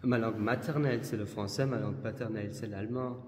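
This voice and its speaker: A man's voice: lowish voice, steady tone, fast, a little mellow. A Belgian artist talking hesitantly about his work in an interview, searching for words and restarting often. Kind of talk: casual interview in a gallery. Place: large exhibition hall. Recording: roomy hall with faint ambience.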